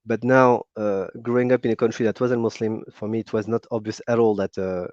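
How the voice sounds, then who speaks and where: A man's voice: on the low side, little pitch movement, fairly quick, a little mellow, no room sound. A man with a French accent, calling in to a stream and talking casually, with many fillers and restarts. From France. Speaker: caller. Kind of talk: casual call-in conversation. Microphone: video call. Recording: muffled lo-fi call audio.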